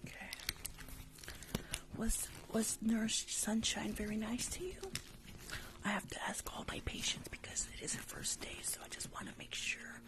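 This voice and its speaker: A woman's voice: on the high side, level tone, moderate pace. Young woman whispering ASMR roleplay. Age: young adult. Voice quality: breathy whisper. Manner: playful, chatty, repeats words. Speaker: ASMR performer playing a doctor. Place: small room at home. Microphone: large desk microphone, close.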